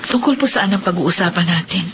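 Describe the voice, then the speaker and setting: A woman's voice: on the low side, animated, a little fast, a bit bright, slight background noise. A woman acting a good-natured, easily flustered young mother in a radio drama. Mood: puzzled, respectful.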